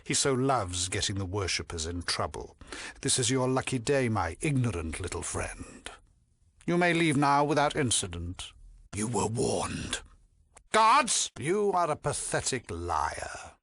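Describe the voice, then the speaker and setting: An actor playing a haughty, sinister cult priest in a video game, pompous and condescending, with silky menace beneath false piety and drops into menacing murmurs. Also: male voice, mid-range voice, big pitch swings, a little slow, fairly bright tone, breathy edge, dry recording, exaggerated voice. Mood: threatening, contemptuous. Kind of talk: theatrical video game voice acting.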